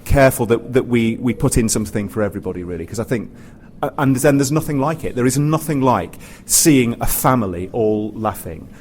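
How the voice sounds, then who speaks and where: A man with a medium-pitched voice talks informally as a theatre practitioner on a panel. He rambles, restarting and repeating phrases with plenty of "you know". There is noticeable noise behind him from the live recording. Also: lively intonation, brisk, a little mellow. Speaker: pantomime writer, performer and director on a discussion panel. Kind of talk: unscripted panel discussion. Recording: noisy live room recording.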